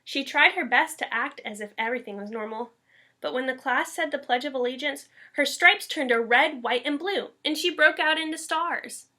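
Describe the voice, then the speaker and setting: A woman's voice: high voice, normal speed, pushed and bright. A woman teacher reading a children's picture book aloud with expression.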